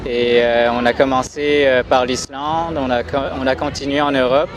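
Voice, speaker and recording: A man from France, a traveling father, answering questions in a calm, matter-of-fact way with frequent fillers. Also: mid-range voice, normal speed. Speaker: interview guest, traveling father. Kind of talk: casual conversation. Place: busy city street outdoors. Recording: loud street crowd noise. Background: crowd and traffic.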